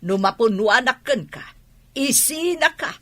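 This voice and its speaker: A woman with a Filipino accent playing a domineering, nagging, jealous wife in a radio drama, husky-voiced. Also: fairly high pitch, animated, measured pace, fairly bright tone. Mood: angry, threatening.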